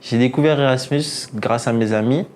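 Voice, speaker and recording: A man's voice: medium pitch, moderate pace, darkish tone. Casting: young male interviewee, twenty-two, mid-range voice, telling his story over slight noise. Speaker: programme participant. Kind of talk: personal testimonial. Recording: faint background noise.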